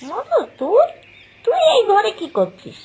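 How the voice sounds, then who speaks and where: A woman's voice: very high-pitched, huge pitch swings, a little slow, a little mellow, slight background noise, voice pushed up, full character voice. A woman voicing a quarrelsome, defiant young bride, the younger daughter-in-law in a cartoon family tale. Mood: indignant, defiant. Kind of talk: Bengali moral-story cartoon voice acting.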